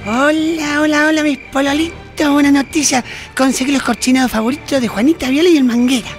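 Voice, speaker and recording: Comic radio-play wedding planner played by a woman: Chilean, very high-pitched, chirpy, pedantic and pushy, music underneath. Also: fairly even intonation, brisk, natural voice. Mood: gushing. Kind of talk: comedic radio play acting.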